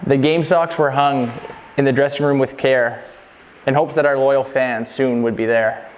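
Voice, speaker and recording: A man reading a scripted rhyming holiday poem in measured storytelling phrases. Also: medium pitch, fairly even intonation, slight background noise, poor audio. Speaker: reader of a Christmas poem parody. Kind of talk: scripted verse narration.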